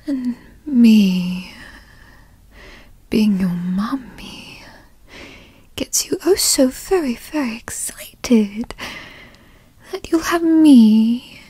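A woman acts out a doting yet possessive girlfriend in an ASMR roleplay. She whispers slowly and breathily, coaxing and teasing in a motherly, dominant way. The sound is dry and intimate. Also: fairly high pitch, animated, warm tone, breathy edge, no echo, full character voice. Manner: coaxing, teasing, pet names.

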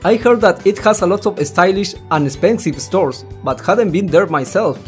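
Rambling man doing lively game commentary. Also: high-pitched, normal speed, faint music behind. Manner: rambling, stumbling over words, swearing mildly. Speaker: let's player. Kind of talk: casual reaction commentary while reading a visual novel aloud.

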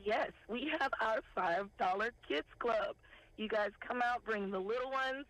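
A woman, a farmers market director calling in to a morning news show and listing products in a steady, unhurried way. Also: a little high. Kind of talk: phone interview, listing products. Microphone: telephone. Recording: tinny phone line.

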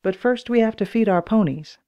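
Woman doing an earnest, higher-pitched storybook girl. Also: a little fast, warm tone, highs cut off, natural voice. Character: earnest young girl in a children's pony storybook.

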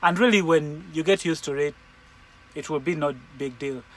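A man in his forties, a truck driver, thinking aloud as he works through a screen in the cab of his parked truck, hesitant and halting. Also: fairly high pitch, poor audio. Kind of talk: casual vlog walkthrough. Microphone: phone camera held in the cab. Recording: engine and fan noise in a truck cab.

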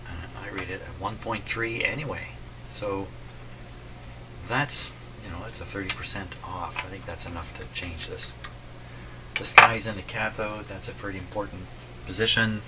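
A man's voice: deep voice, moderate pace. An older man narrating casually at his workbench, thinking aloud in a folksy way. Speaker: radio repair hobbyist, presenter. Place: small cluttered indoor workshop at a bench. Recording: distant mic, clear background noise.

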